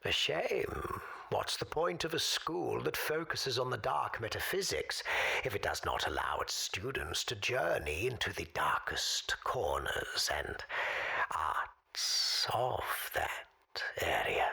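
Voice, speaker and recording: An actor playing a weary, sinister old man in an audio drama, telling his tale formally and theatrically in a hoarse, breathy rasp with dramatic pauses. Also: lowish voice, sing-song, pushed and bright, in a whisper, full character voice. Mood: wry, probing. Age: older.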